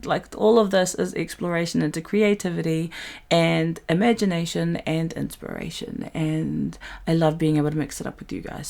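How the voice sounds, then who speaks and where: A woman chatting casually to her listeners as an audio drama creator, halting and thinking aloud as she explains. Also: fairly low pitch, vocal fry, darkish tone. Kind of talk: casual behind-the-scenes chat to listeners.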